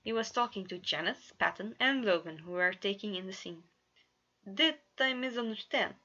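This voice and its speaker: Woman reading a story out loud, kinda crackly bright voice, bit muffled homemade recording. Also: mid-range voice, fairly quick. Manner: expressive reading with small stumbles. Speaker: amateur fan fiction reader. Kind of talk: scripted story narration.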